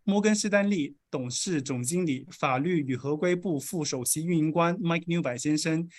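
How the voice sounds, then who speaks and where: A man's voice: fairly high pitch, moderate pace, a little mellow. Man emceeing a formal awards ceremony. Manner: polished, announcing names and titles. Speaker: event emcee.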